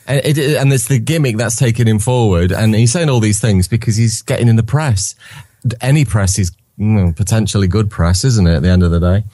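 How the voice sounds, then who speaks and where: A man's voice: on the low side, fairly quick, dark tone, no room sound, clean studio sound. A man hosting a podcast, chatting casually with tag questions and stumbling restarts. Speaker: podcast host. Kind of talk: casual conversation.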